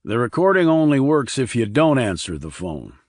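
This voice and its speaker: A man plays an arrogant middle-aged coal baron in a thriller audiobook. He is irritable and curt, snarling his lines louder and higher, in a dry, close sound. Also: very animated, moderate pace, dry recording, close to an everyday voice. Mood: curt, irritated.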